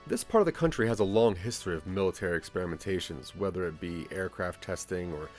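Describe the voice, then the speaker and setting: A man's voice: sits low, animated, fast, soft background music. A man narrating a scripted second-person story for an interactive audio drama.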